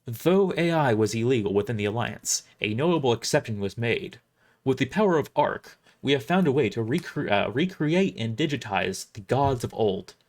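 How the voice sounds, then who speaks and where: A man's voice: mid-range voice, expressive, normal speed. A man reading first-person science fiction narration live, now and then stumbling over the script and rereading words. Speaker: storyteller.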